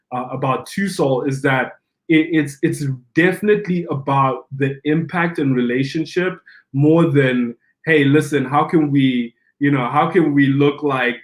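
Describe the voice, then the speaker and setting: A young adult pastor from Zimbabwe tells his story in a relaxed podcast conversation. He is animated, acts out the voices of the people he quotes, and often restarts his sentences. His voice sits at an ordinary middle pitch for a man.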